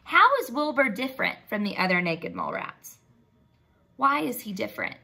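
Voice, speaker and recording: A woman teacher giving an expressive read-aloud lesson for young children, using simple words and prompting with questions. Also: fairly high pitch, moderate pace, gravelly.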